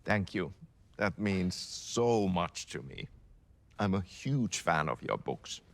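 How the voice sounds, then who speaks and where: An actor playing a polite, earnest film star in a video game, speaking with understatement. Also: male voice, low voice, lively intonation, slow pace, heavily acted. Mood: earnest gratitude. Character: polite, deep-voiced film star, earnest admiring fan.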